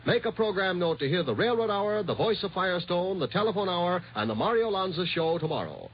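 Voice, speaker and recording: Male network announcer, fairly high and very expressive, declamatory, muffled vintage radio sound. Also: fairly quick. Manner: polished, declamatory. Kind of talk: 1950s radio promotional announcement. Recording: muffled old radio recording, faint noise.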